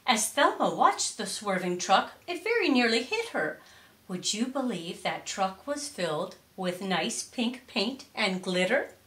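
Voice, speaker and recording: A middle-aged woman reading a children's storybook expressively, with deliberate, theatrical emphasis in a roomy space. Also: mid-range voice, a bit bright. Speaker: storytime reader. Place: indoors, curtained room or small stage. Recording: roomy echo on voice.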